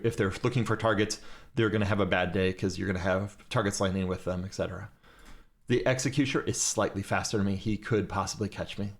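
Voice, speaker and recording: A man teaching a class during a live game, giving step-by-step directions to his fleet over voice chat. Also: fairly low pitch.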